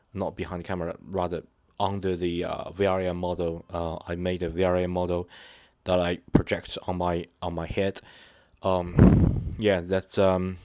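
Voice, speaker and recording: A man with a very deep voice speaks to his viewers without a script, stopping and restarting often and leaning on fillers. His delivery is earnest and sincere. The sound is dry and close, with no room around it. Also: darkish tone, dry recording. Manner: halting, hesitant, many fillers and restarts. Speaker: channel host. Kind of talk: unscripted personal talk to viewers.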